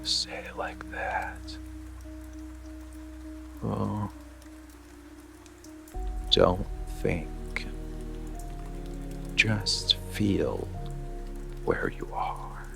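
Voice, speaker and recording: Man playing a slow, gravelly whispering monster lover. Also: lowish voice, lively intonation, heavily acted. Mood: seductive, coaxing. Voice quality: breathy, gravelly, often whispered. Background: rain and music.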